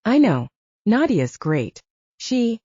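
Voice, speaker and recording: A woman's voice: on the high side, sing-song, slow, warm tone, slightly rough, no room sound, highs cut off, voice pushed up, exaggerated voice. A woman narrator voicing a protective, commanding male werewolf, an older brother, in an audiobook. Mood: insistent.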